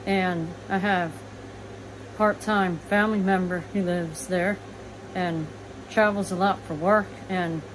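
Brief: twenties woman, plain and matter-of-fact vlogger, phone audio with steady household hum. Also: medium pitch. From eastern United States. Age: 20s. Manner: plain, matter-of-fact, listing things as she walks. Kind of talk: casual house tour. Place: small manufactured home, indoors. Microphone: phone camera, front-facing then walking.

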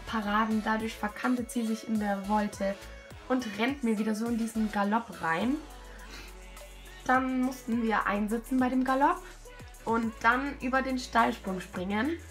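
A hoarse young woman with a cold, talking casually and self-critically over a video of her riding. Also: fairly high pitch, a bit bright. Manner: self-critical, chatty, many fillers. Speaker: rider commenting her own show-jumping test video. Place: at home, voice-over.

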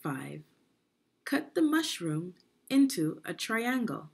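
A woman reading aloud to children as a teacher would, in a guided lesson style with deliberate phrasing. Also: mid-range voice, big pitch swings, creaky, darkish tone, slightly rough. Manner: deliberate teacherly phrasing, asks the listener questions. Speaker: education consultant reading aloud.

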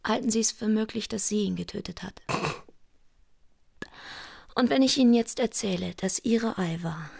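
Sharp-witted secretary in a radio crime play, husky voice, close dry sound, wry and frank. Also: female voice, mid-range voice, moderate pace, long pauses, no echo. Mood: shrewd, confiding. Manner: teasing, knowing, frank. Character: wry, shrewd, loyal female secretary. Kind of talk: German radio crime drama acting.

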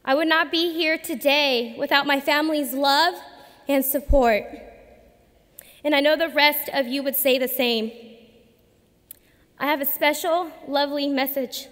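Young woman giving a grad speech, high voice, long arena echo off the podium mic. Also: fairly even intonation, a bit bright. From United States. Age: 20s. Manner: earnest, measured delivery with emphatic pauses. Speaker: student commencement speaker. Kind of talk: formal graduation speech. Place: large arena at a lectern. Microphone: podium microphone.

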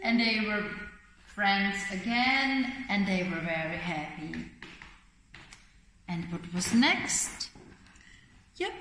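A woman in her thirties tells a picture-book story to small children in simple, expressive phrases, asking questions as she goes. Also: medium pitch, takes it slowly, pauses often. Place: indoor children's classroom. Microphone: camera a short distance away. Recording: roomy echo, faint background noise.

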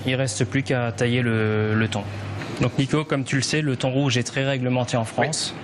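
Male chef, middle-aged, medium pitch, explains each cooking step over clear sizzle and background noise. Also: steady tone, moderate pace. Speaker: restaurant chef. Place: restaurant kitchen and dining room. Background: frying, sizzling, stirring.

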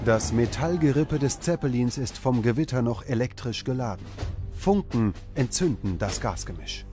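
A man's voice: mid-range voice, expressive, moderate pace. Male documentary narrator over music.

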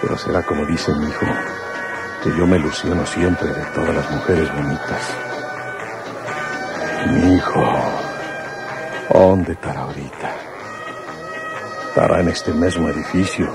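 Gravelly old country rancher. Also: male voice, rumbling low, steady tone, unhurried, long pauses, loud music behind the voice. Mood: musing, worried. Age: older. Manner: folksy, hesitant, muttering. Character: older northern rancher father, smitten yet secretly fearful. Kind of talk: radio drama acting.

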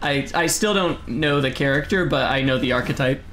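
A young man from the United States chatting casually with his stream chat while gaming at home, reading messages aloud and breaking into sudden loud exclamations. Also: mid-range voice, moderate pace, slight background noise. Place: home room, seated in desk chair.

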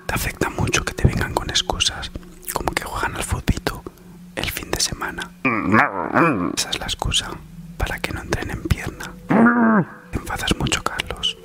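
A man in his thirties with a Spain accent whispers a mock-soothing, teasing ASMR comedy monologue. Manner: slow soothing delivery with mock-reassuring teasing. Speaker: ASMR parody YouTuber. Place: small living room at home, on a sofa.

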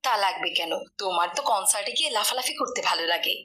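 A woman's voice: mid-range voice, animated, fast, sharp tone, voice pushed down. A woman voicing an exasperated, scolding middle-aged mother in a cartoon. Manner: scolding, complaining.

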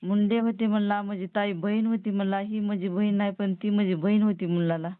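A woman's voice: medium pitch, fairly even intonation, brisk, smooth, no room sound. A middle-aged woman with a Marathwada Marathi accent, grieving and lamenting repetitively on a phone call. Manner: plaintive, repetitive. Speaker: bereaved mother. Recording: tinny phone call recording.

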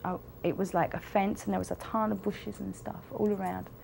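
A woman's voice: medium pitch, steady tone, fairly quick, vocal fry, a little mellow, slight background noise, rough recording. Rambling young woman. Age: young adult. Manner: rambling, with many fillers and restarts. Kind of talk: personal storytelling to camera. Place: indoor studio with a plain wall.

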